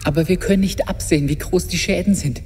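A woman's voice: lowish voice, fast talker, noisy background, close to an everyday voice. An actress playing a nervous, anxious female assistant aboard a ship in a sci-fi audio drama. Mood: worried. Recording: hall reverb with music.

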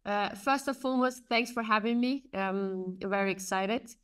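Earnest woman guest, thirties, smooth tone, video call from home, slight distance. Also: medium pitch, normal speed. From Afghanistan. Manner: earnest, animated storytelling. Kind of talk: conversational interview answers. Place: home room, indoors.

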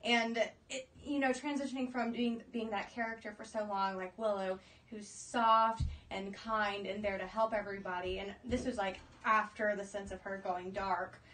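Actress in her 20s talking casually in an interview, mid-pitched voice, bit far from the mic. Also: fairly even intonation, moderate pace, few pauses, a bit bright. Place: small indoor room, seated in armchairs. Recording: distant room mic.

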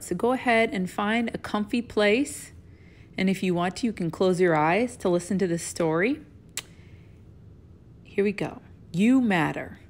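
A woman's voice: on the low side, creaky, slight background noise. A middle-aged woman giving a children's read-aloud and casual instruction, speaking to young listeners in simple, encouraging phrases. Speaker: teacher reading a picture book aloud to children.

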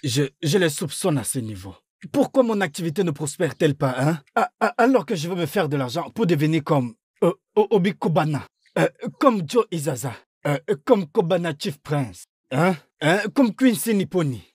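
A man's voice: fairly high pitch, very animated, moderate pace, a little mellow, breathy edge, no echo, clean studio sound. A man dubbing a stammering, complaining middle-aged trader in a film. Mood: grumbling. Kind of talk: French film dub.

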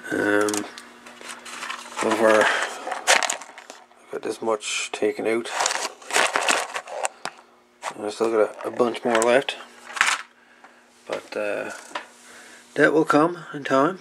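Gravelly-voiced man narrating hands-on repair. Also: on the low side, steady tone, drawn-out words, pauses often. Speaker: hobbyist host of radio repair videos. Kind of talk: casual unscripted narration of a repair. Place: indoor workbench. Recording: noisy home-camera audio with clicks.

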